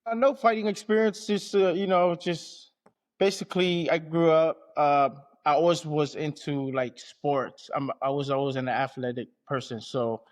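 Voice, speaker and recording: A man's voice: on the high side, steady tone, moderate pace. A man from American Samoa and Southern California, an athlete being interviewed, talking casually and colloquially with frequent check-in phrases. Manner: colloquial, frequent "you know what I mean". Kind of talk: casual conversation.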